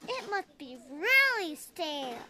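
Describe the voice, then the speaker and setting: Squeaky kiddish little critter, slow talker, up and down pitch, greedy, excitable, cartoony radio play. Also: female voice, heavily acted. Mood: scrappy. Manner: excitable, naive. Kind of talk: audio drama acting.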